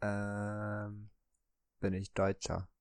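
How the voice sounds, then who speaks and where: A man co-hosting a podcast, chatting casually through a guessing game and often pausing on drawn-out fillers. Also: fairly low pitch, monotone, no room sound, clean studio sound. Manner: hesitant, many drawn-out fillers. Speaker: podcast co-host.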